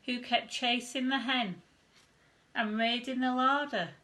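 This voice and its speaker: A woman reading a rhyming bedtime story to children with expressive, deliberate phrasing. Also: a little high. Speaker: primary school staff reading a bedtime story.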